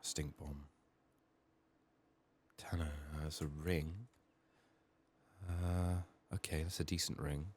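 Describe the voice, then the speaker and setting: A man speaking softly and sometimes whispering, muttering his thoughts aloud as he commentates a game for ASMR. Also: a bass voice, little pitch movement, slow pace, pauses often, slightly rough. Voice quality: hushed, soft, sometimes slipping into whisper. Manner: muttering, thinking out loud. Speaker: gaming video host. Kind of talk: soft-spoken ASMR game playthrough commentary.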